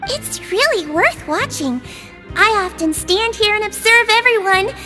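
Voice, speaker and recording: A woman voicing a small, sweet nonhuman nurse in a video game, childlike, gentle and politely caring. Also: very high voice, animated, unhurried, faint music behind, heavily acted. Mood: cheerful, admiring. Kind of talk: animated video game dialogue.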